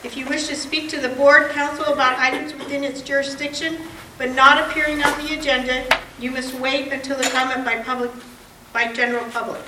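A woman chairs a town board meeting, reading procedural rules in a brisk, plain way. Her voice sits high. She is caught from a distance in a roomy, echoing hall with clear background noise. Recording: echoey meeting room from far off, noisy.

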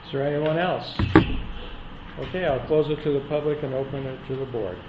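A man chairing a public board hearing, reading agenda items in a formal, steady cadence and calling on speakers. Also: mid-range voice, fairly quick, heavy background noise. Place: public meeting chamber. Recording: echoey meeting room with dull sound.

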